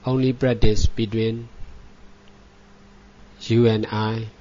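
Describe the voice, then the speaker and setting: A man who is a native Burmese speaker gives guided meditation as a Buddhist teacher, in measured, repetitive short phrases with frequent pauses. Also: fairly low pitch, level tone, warm tone. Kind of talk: guided meditation, spoken dhamma instruction. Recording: dull lo-fi recording.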